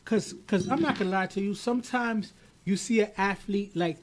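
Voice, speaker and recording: A man co-hosts a podcast in a casual, animated way. His high voice pushes hard on key points, and he often repeats a word before he gets going. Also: moderate pace, slight background noise. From United States, of Haitian parents. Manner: animated, emphatic, repeats words when starting. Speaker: podcast co-host.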